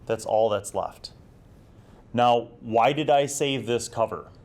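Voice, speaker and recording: A man's voice: mid-range voice, takes it slowly, a little mellow. An American man in his forties hosting a casual, nostalgic review vlog, speaking in a reflective, enthusiastic way. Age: 40s. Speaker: host of a retro gaming video series.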